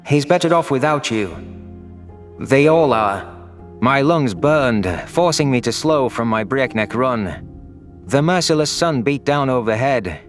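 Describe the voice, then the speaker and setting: A man's voice: medium pitch, lightly acted. A man voicing an anguished, vengeful young furry alien who narrates his own audio story in an earnest, solemn, dramatic way. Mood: self-loathing.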